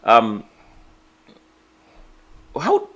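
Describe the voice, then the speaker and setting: A man's voice: mid-range voice, wildly swooping pitch, extremely slow, gravelly, a bit bright. A man hosting an interview, asking long, searching questions with many stammered restarts. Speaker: interview host.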